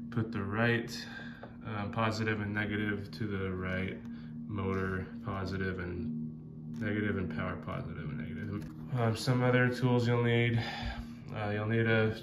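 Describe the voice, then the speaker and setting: A man with a Southern American accent talking through a how-to at a workshop bench, relaxed and full of ums and uhs. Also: on the low side, a little slow, lo-fi sound. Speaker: fishing channel host. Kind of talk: casual how-to walkthrough. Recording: loud guitar music behind.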